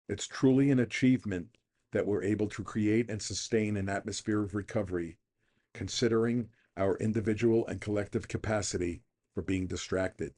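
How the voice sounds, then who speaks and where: A mature man with a fairly low voice reads a scripted daily meditation. He is middle-aged or older and delivers the text as a reader on a recovery programme. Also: darkish tone.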